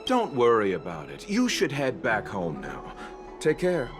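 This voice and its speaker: A man voice acting a gentle, unruffled traveling doctor in a video game, with a polite, soothing manner. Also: mid-range voice, huge pitch swings, unhurried. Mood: modest, kindly. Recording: loud music behind.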